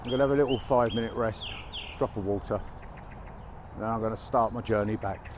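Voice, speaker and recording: A man's voice: fairly low pitch, brisk. A man on a walking vlog, chatting casually as he goes, a bit chesty from a cold and slightly out of breath, on a chest-worn mic outdoors. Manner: chatty, wry, a little out of breath. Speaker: walking vlogger. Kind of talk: casual walk-and-talk narration. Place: outdoors by a busy motorway in winter fields. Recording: outdoor mic with faint traffic noise.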